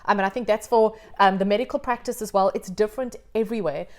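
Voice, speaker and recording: A woman's voice: mid-range voice, fast talker. A woman hosting an interview, an upbeat yoga teacher who talks in an encouraging, reassuring way. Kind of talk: conversational interview.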